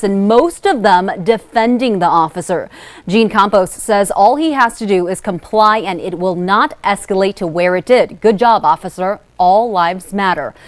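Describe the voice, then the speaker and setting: A woman's voice: mid-range voice, dry recording. A woman with an American accent anchoring a television newscast in a broadcast news delivery.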